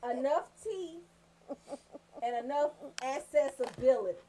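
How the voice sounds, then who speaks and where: A woman with a Southern Atlanta accent hosting comedic radio banter, telling stories in an animated, emphatic way. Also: high-pitched, unhurried, slight background noise, poor audio. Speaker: radio show host.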